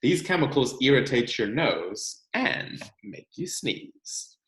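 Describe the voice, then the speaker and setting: An American man with a medium-pitched voice reads to children from a picture book. His intonation is lively and expressive, with deliberate storyteller phrasing. The room around him adds a clear echo. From California, United States. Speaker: read-aloud host, teacher reading to children. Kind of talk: children's picture book read-aloud with casual asides. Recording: echoey room.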